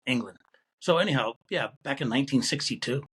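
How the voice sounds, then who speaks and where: Husky-voiced man in his sixties with a Connecticut accent. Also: medium pitch, expressive, brisk, fairly bright tone, poor audio. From Connecticut, USA. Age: 60s. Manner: easygoing, chuckling, telling his life story. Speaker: guest, retired Marine officer. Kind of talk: casual interview conversation.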